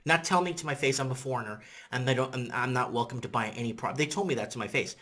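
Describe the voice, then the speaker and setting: A man in his thirties whose first language is Portuguese rambles through an opinion vlog straight to his webcam. His voice is bright and forward, at a middling pitch. He talks in animated bursts, repeats words and keeps asking "you know what I'm saying?". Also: fast. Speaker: vlogger. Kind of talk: casual opinion vlog to camera. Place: indoors in front of a green-screen city backdrop.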